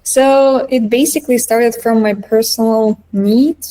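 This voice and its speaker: A woman's voice: fairly high pitch, fairly even intonation, a little slow, warm tone, poor audio. Woman, earnest interview guest. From Estonia. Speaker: interview guest, app founder. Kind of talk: conversational interview answers.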